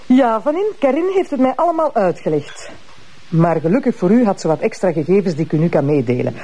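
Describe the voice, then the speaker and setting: A woman playing a blunt, teasing, sharp-tongued prosecutor married to a police inspector in a TV crime drama, with a Flemish accent. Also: lowish voice, big pitch swings, a little fast, a little hiss or hum. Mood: brisk, explaining. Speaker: actress in a TV crime series. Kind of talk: naturalistic TV drama acting.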